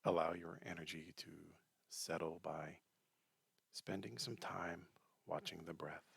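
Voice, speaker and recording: Male meditation guide: deep, husky and soft, gentle delivery with long pauses, breathy phrasing. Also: fairly even intonation, no echo. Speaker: meditation teacher.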